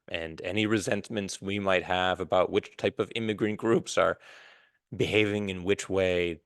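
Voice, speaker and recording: Low, smooth-voiced man hosting a podcast. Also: fairly bright tone, no room sound, studio quality. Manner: measured, thoughtful, with pauses and restarts. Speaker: podcast host. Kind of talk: reflective solo podcast talk.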